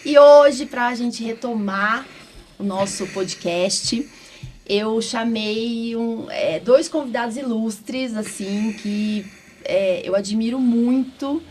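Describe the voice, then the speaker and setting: A woman's voice: fairly high pitch, takes it slowly, a little mellow. A woman from São hosting a podcast in an informal, chatty way, often checking for agreement. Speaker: podcast host, doctor. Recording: clearly audible background room noise.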